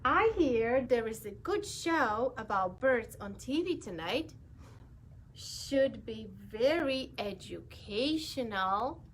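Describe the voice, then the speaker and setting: A woman's voice: on the high side, expressive, slow pace, a little hiss or hum. A woman voicing a stubborn young male pigeon who wheedles and bargains to stay up late. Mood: pleading. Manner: wheedling, pleading, loud bargaining.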